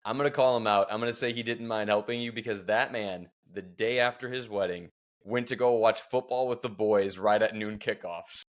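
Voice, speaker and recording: A man's voice: fairly low pitch, a little fast. A young man leading a casual fantasy football podcast, joking with his co-host and running through the matchups with energy. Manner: joking, energetic, leads the show.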